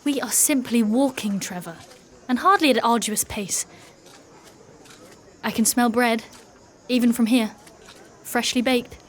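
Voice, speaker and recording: A woman's voice: fairly high pitch, slight background noise, natural voice. Proud, stern woman knight played by an actress. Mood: stern, dry. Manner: formal, clipped, commanding, measured diction. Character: proud, stern female knight in hiding, soldierly and guarded. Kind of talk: dark fantasy audio drama acting and narration.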